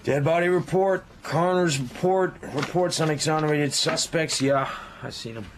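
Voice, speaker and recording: A man's voice: a little high, normal speed, noisy background, natural voice. Brusque American crime writer, played by a man. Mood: brusque, sardonic. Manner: terse, sardonic. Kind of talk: radio drama acting.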